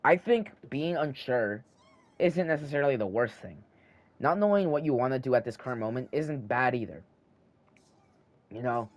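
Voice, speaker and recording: A teenage boy talks at a middle pitch and rambles as he thinks aloud over his gameplay. He restarts his sentences often, and a slight lisp shows through. He speaks into a handheld mic at home. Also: animated, moderate pace, long pauses. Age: teens. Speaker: gaming channel host. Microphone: handheld microphone.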